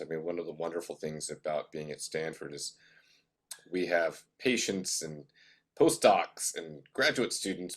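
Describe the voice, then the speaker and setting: Deep-voiced thoughtful man in his fifties. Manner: thoughtful, peppered with "you know". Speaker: panelist, professor and physician. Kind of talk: video panel discussion.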